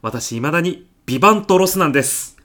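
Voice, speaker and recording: A man's voice: fairly high pitch, animated, brisk, fairly bright tone. Easygoing male department manager played by an actor. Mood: enthusiastic. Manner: casual with staff, courteous with clients. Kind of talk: comedy audio drama acting.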